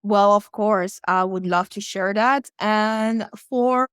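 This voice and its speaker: A young woman from West Africa, a podcast guest, talking about her background in a calm, reflective, unhurried way. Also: medium pitch, clear, resonant tone, dry recording, studio quality. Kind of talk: casual interview talk.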